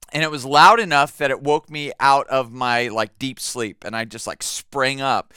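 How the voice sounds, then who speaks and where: A man's voice: mid-range voice, pushed and bright, smooth, dry recording, clean studio sound. A man, a pastor, preaching a sermon in a conversational way, telling a family story with fillers and repeated words.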